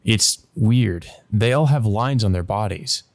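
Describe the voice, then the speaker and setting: A man's voice: fairly low pitch, animated, unhurried. A man narrating a visual novel as a let's play host, reading aloud and adding hesitant commentary of his own.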